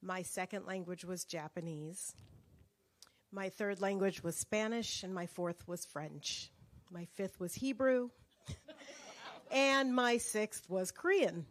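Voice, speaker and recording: Middle-aged woman, unhurried, halting personal storyteller, holding a mic. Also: mid-range voice. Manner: slow, reflective, halting storytelling. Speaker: book club participant.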